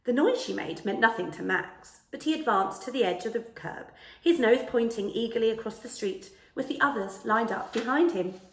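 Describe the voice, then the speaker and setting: A woman reads a children's book aloud in a fairly high voice. Her delivery is animated and theatrical, with careful phrasing. The recording has a roomy indoor echo. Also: normal speed. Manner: careful, dramatic storytelling delivery. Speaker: storyteller reading a children's book. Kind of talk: expressive read-aloud of a children's story. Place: small living room, indoors.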